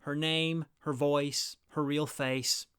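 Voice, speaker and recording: A man's voice: fairly high pitch, level tone, slow, noisy background. A man hosting a review, reading scripted commentary and narration. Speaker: review video host.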